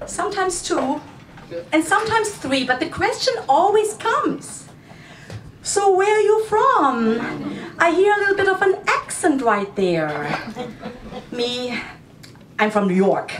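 A woman's voice: high-pitched, distant mic. A woman from Germany with a German accent, a cabaret performer telling a wry, self-mocking story on stage and acting out both sides of a conversation. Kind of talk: stage monologue. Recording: roomy echo with loud music behind.

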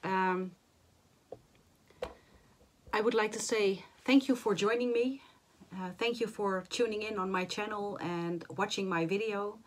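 Woman, 40s, hoarse and nasal, chatty with fillers, slow easy delivery, average pitch, small home room. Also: fairly even intonation. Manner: chatty, many fillers and restarts. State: hoarse and nasal after flu. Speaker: cross-stitch vlogger. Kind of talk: casual chat to camera.

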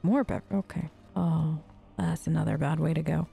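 A woman's voice: sits low, fairly even intonation, normal speed, gravelly, dark tone, slightly rough, faint music behind. A woman doing playful, chatty role-play commentary over a game, talking to the characters as she plays. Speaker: gamer playing in character.

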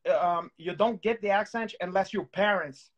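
Co-host, man in his thirties, high voice, playful rambling banter, on a video call. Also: normal speed, lo-fi sound. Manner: playful, teasing, rambling with many restarts. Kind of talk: joking casual chat. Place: home room with candlelight.

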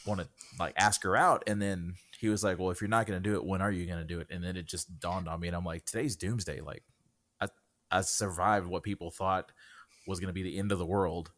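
Deep-voiced man podcast co-host. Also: steady tone, a little fast, fairly bright tone. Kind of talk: casual chat.

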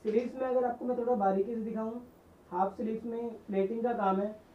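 A man's voice: very high-pitched, fairly even intonation, a little fast, phone-quality sound. A man in his twenties hosting a video, talking to camera and interviewing, often repeating answers back for viewers. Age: 20s. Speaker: video host. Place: garment factory workroom and sample room. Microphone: handheld mic with windscreen.

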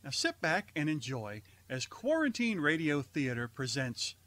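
A man's voice: a little high, wildly swooping pitch, unhurried. A man hosting an online radio theater show, giving a scripted introduction. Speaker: host and announcer of an amateur online radio theater.

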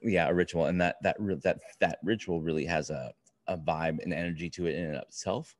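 A middle-aged man co-hosting a casual book review podcast, talking in a rambling, halting way with plenty of swearing. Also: sits low, steady tone, no room sound. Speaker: podcast co-host. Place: indoor room, remote video call.

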